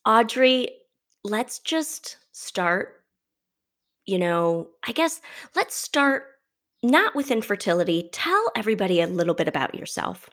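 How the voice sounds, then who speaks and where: Woman podcast host; unhurried delivery, gushing and warm-hearted, filler-heavy. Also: medium pitch, very animated. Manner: effusive, enthusiastic, hedging fillers. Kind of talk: conversational interview.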